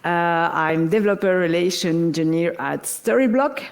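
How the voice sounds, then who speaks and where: A woman's voice: fairly low pitch, normal speed, vocal fry. A woman from France with a French accent, giving an upbeat, explanatory tech talk on a large conference stage through a stage microphone. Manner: upbeat, explanatory, playful comparisons. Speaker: conference speaker.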